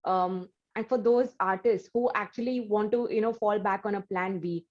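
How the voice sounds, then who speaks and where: A woman from India hosts an interview at a middle pitch and builds long questions full of "uh" and "you know". Her audio sounds a little dull and lo-fi. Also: steady tone, normal speed. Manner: rambling, many fillers and "you know". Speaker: interview host.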